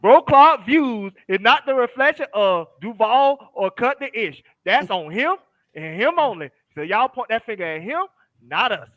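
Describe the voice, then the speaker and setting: A man's voice: very high voice, expressive, normal speed, no echo, lo-fi sound. A man co-hosting a sports talk show in a playful, mock-formal, teasing way. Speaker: podcast co-host. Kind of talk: joking sports talk banter.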